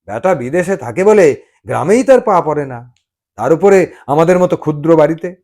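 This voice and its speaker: Loud, hearty uncle played by a man. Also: a little high, lively intonation, normal speed, pitched above the performer's own voice. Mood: hearty chiding. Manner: loud, effusive. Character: hearty, chiding middle-aged maternal uncle.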